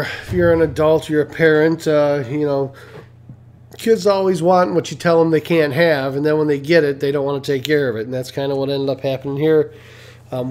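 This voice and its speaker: A man's voice: a little high, darkish tone. Congested middle-aged man rambling on a stream. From Detroit, Michigan. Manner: rambling, with many fillers and restarts. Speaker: livestream host. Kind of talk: casual rambling monologue.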